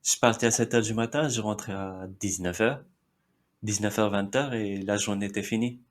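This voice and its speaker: Soft-voiced Indian-born man, hesitant. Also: on the low side, fairly even intonation, moderate pace. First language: Marathi. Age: 30s. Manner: hesitant, many fillers, frequent short laughs. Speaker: podcast guest. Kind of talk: casual interview chat.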